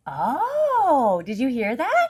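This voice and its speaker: A woman's voice: high-pitched, wildly swooping pitch, extremely slow. A woman reading a story aloud to young children, expressively, with simple explanations along the way. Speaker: children's story reader and host.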